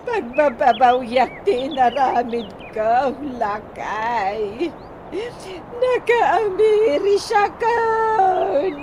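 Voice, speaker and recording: An apologetic wife in trouble played by an actress pleads in a radio drama. Her high voice drags very slowly and whines, over faint background noise. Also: animated, full character voice. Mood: pleading, remorseful. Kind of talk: radio comedy drama acting.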